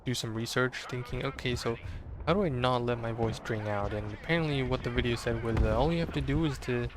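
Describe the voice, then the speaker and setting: A man's voice: medium pitch, a little fast, noisy background. A man casually commentating his own strategy game match, rambling with fillers, his voice strained and sore. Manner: rambling, many fillers and restarts. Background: game gunfire and explosions.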